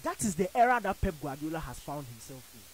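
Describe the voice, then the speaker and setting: A man's voice: on the high side, very animated, fast, a little hiss or hum. A man with a Ghanaian accent, arguing football as a panelist on a radio studio show, emphatic and theatrical. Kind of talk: animated studio debate. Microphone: studio boom mic with headphones.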